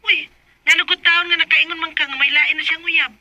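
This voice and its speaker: A woman's voice: very high voice, fairly even intonation, brisk, bright, forceful tone, dull audio, exaggerated voice. A woman playing a frank young friend who defends her cousin in a radio drama, with a Bisaya accent. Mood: indignant.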